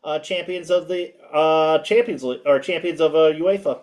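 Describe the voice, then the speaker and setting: A man with a loud, projecting voice, pitched a little high, chats through a sports recap as co-host. He talks casually, in a halting way, often circling back over his phrases.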